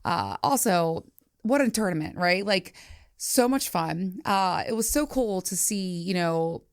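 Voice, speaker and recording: A woman hosting a podcast, talking candidly and casually and telling stories in an animated, chatty way. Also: fairly low pitch, unhurried, dry recording, studio quality. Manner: animated storytelling, acting out quoted reactions.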